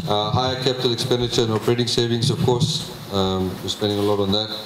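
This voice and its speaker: Male official, low-ish voice, measured public address with pauses, roomy hall, faint background hum. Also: level tone. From Fiji. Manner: measured, many filler pauses. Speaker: government minister presenting a budget. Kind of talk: public presentation with slides. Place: public consultation meeting hall. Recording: echoey hall, faint ambience.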